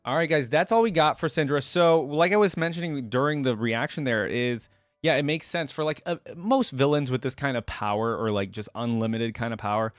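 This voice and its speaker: A man's voice: mid-range voice, a little fast, dry recording. A man hosting a reaction video, giving casual, conversational commentary straight to his viewers. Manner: informal, conversational, addresses viewers directly.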